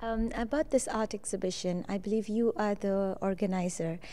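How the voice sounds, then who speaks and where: A woman's voice: medium pitch, steady tone, moderate pace, mellow. A woman hosting an on-location TV interview, effusive and admiring, with frequent uh fillers. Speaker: TV show host. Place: indoors at a school art exhibition.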